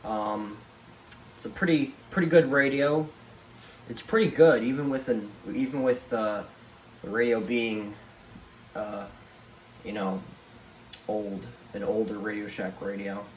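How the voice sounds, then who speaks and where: A man giving a casual, unscripted show-and-tell, speaking haltingly and trailing off at the ends of his thoughts. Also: on the low side, fairly even intonation, roomy sound, poor audio. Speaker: collector presenting a home video tour. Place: room at home among many radios. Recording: clear background noise and room ambience. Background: weather radio broadcast playing.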